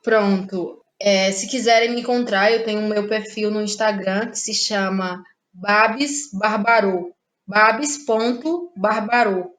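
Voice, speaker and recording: Guest voice: easygoing woman, unhurried, quick to laugh. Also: medium pitch. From Ceará, Brazil. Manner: relaxed, laughs often, trailing pauses. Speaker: guest playwright. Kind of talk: casual interview chat.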